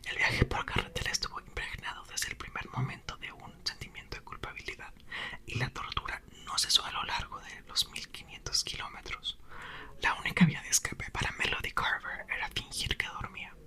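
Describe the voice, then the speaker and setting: A man softly whispering a novel aloud in an ASMR reading, close to the mic. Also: very high voice, huge pitch swings, a little fast. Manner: soft whisper, occasional self-corrections. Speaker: ASMR reader.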